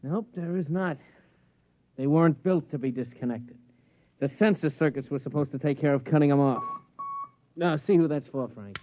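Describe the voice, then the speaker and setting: A blunt, weary repairman, played by a male actor, matter-of-fact in a slightly high voice. It is old radio acting with a muffled vintage sound. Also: normal speed, lightly acted.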